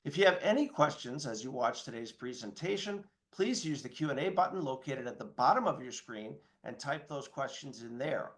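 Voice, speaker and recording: A man's voice: medium pitch, lively intonation, moderate pace, hardly any pauses, a bit bright. A man hosting a webinar, giving a polished, formal scripted introduction. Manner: polished, formal announcer delivery. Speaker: webinar host.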